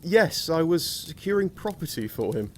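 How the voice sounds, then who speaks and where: Man as a haunted, higher-voiced young husband. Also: sing-song, moderate pace, a little hiss or hum. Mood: uneasy. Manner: hesitant, apologetic. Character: shaken, haunted young husband back from abroad. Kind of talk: theatrical gothic horror audio drama.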